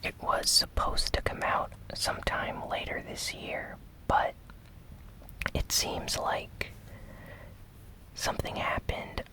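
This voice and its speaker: ASMR news host. Breathy whisper throughout, crisp bright edge, gentle deliberate phrasing. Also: low-quality recording. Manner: slow, gentle, deliberate delivery with short phrases. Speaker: host of a gaming news show.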